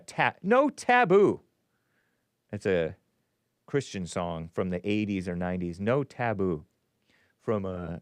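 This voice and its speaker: A man's voice: fairly low pitch, clean tone, dry recording, studio quality. A man hosting a call-in talk show, rambling through the news with opinions and frequent restarts. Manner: rambling, opinionated, self-interrupting. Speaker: host. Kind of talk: casual talk radio monologue.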